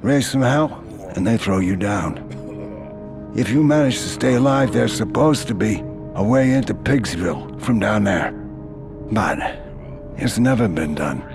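An actor playing a matter-of-fact, streetwise prison fixer in a video game, casual and laconic. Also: male voice, lowish voice, animated, a little slow, gravelly, long pauses, music in the background. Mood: businesslike.

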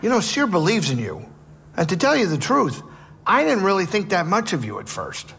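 An actor playing a gruff, nervous, wisecracking non-human male starship pilot in a video game. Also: high voice, wildly swooping pitch, moderate pace, fairly bright tone, long reverb tail, a little hiss or hum, full character voice. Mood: chatty. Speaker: ship pilot. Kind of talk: video game cutscene acting.

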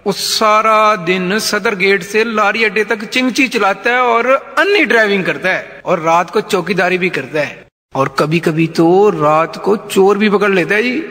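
Man acting a blind rustic politician, Punjabi accent, bright forceful voice, brash and folksy, comedy sketch, long hall reverb. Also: sits high, a little slow. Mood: boisterous, boastful. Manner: folksy, teasing, peppered with interjections. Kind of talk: satirical stage sketch comedy.

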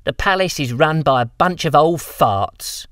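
A man's voice: a little high, expressive, unhurried, fairly bright tone, dry recording, studio quality, pitched above the performer's own voice. A man doing a cheeky young lamplighter lad. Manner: chirpy, cheeky, slangy.

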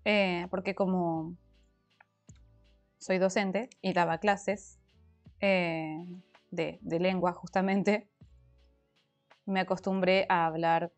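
A woman's voice: lowish voice, steady tone, slow, long pauses. Woman, Rioplatense Argentine accent, casual livestream chat, explains at length, slightly congested, faint background music. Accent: Rioplatense Argentine Spanish. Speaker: streamer and former language teacher. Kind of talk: casual livestream chat reading comments while crafting.

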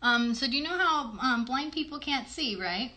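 A woman in her thirties hosts a children's livestream in a bright, fairly high voice. She talks in simple, encouraging phrases and asks plenty of questions, recorded on a phone in a small room. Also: expressive, normal speed. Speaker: host and puppeteer of a children's show. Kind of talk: child-directed chat on a livestream. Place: small bedroom-like room indoors. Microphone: phone a short distance away.

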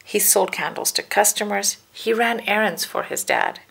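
Creaky woman reading a storybook. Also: medium pitch, normal speed, a bit bright. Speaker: children's read-aloud narrator. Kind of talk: storybook narration.

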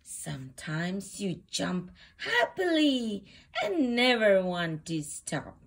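A woman reading a children's storybook aloud as host, with expressive, deliberate phrasing meant for young children. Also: medium pitch.

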